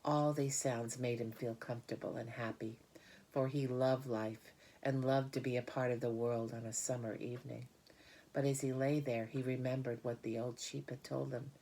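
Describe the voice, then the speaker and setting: Very low-voiced woman reading a story. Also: steady tone, normal speed, warm tone. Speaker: audiobook reader. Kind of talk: scripted children's story narration.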